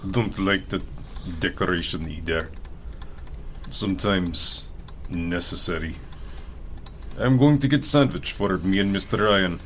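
Casting: male actor as Russian heavy for audio drama, lowish pitch, terse, coolly threatening, noisy recording. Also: unhurried, fairly bright tone. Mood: coolly probing. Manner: terse, clipped broken English. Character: menacing Russian henchman, adult man, coolly taunting.

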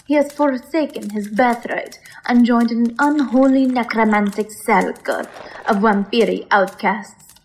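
Lilith, a slow ominous seductress, voiced by a woman. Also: on the high side, low-quality recording. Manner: slow, measured, ominous delivery. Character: mysterious, seductive woman of the vampire world. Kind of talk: old-time horror radio play acting.

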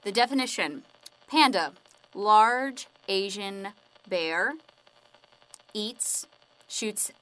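A woman telling corny jokes and one-liners, slowly and with a giggle, in a radio drama. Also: mid-range voice, animated, fairly bright tone, poor audio. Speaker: joke teller.

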